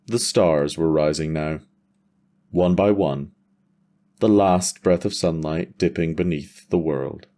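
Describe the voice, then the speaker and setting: Deep dark-voiced guy narrating fantasy, slow n measured w dramatic pauses. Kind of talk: scripted fantasy story narration.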